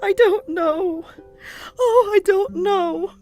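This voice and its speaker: An actress playing a frail, trusting young Victorian lady patient in a radio play, plaintive and halting. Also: very high-pitched. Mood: tearful, frightened. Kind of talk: dramatic radio play acting. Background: soft guitar music.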